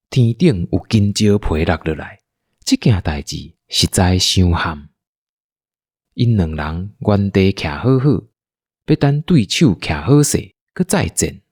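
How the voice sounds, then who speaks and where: A man with a Taiwanese accent narrating a scripted radio drama in an animated, dramatic storytelling manner. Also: low voice, breathy edge, no room sound, clean studio sound.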